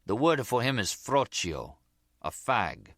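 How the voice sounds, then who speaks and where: A man's voice: medium pitch, very animated, takes it slowly, no echo, clean studio sound, voice pushed up. A man voicing a menacing, boastful immigrant mob hitman, blunt and cocky in broken English, in an audiobook crime story.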